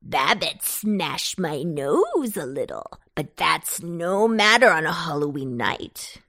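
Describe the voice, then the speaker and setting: A woman's voice: low-pitched, sing-song, takes it slowly, fairly bright tone, no room sound, studio quality, pitched below the performer's own voice, full character voice. A woman voicing a cheeky male Halloween pumpkin in a children's storybook, with a thick, throaty voice, calling out airily. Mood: gleeful, airy. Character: runaway Halloween pumpkin, male, cheeky, airy, boastful ringleader.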